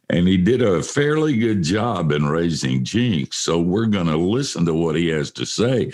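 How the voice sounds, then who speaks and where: An older man with a deep voice hosting a casual studio chat, telling stories with self-deprecating humor. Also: sing-song, a little slow, a little mellow. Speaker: host.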